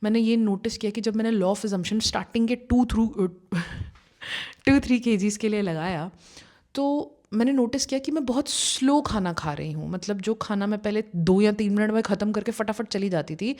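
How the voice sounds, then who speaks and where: A woman's voice: medium pitch, normal speed, a little mellow. A young adult woman, a manifestation coach, telling her own story in a chatty way, with fillers and English phrases mixed in. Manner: conversational, mixes Hindi with English phrases, many fillers like you know. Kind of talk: personal story told to camera.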